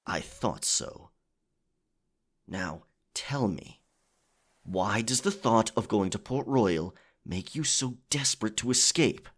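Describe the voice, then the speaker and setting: A man's voice: lowish voice, expressive, slow, fairly bright tone, full character voice. A man playing a roguish pirate captain, courteous but firm, with gallant old-fashioned phrasing in an intimate ASMR roleplay. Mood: probing, softer. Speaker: audio roleplay performer. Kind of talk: ASMR audio roleplay, intimate dramatic acting.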